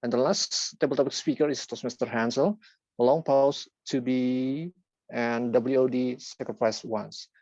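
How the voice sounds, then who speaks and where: Brief: man, unhurried halting list reading, dry close sound, dull lo-fi call line. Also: mid-range voice, fairly even intonation, darkish tone, dry recording. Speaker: filler-word counter at a speaking club. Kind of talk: reading out a tally report. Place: online video meeting.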